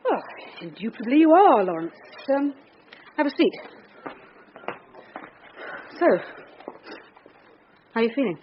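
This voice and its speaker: An actress playing a brisk, sensible British police detective in a radio drama, wry and firm. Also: female voice, on the high side, big pitch swings. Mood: stern. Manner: firm, wry, matter-of-fact. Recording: dull radio sound with background noise.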